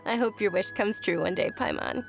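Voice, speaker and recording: A woman voicing a gentle, gracious young noblewoman in a video game, polite and softly explanatory. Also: medium pitch, creaky. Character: gentle, gracious young noblewoman, frail yet cheerful and devout. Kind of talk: video game character acting. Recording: music behind the voice.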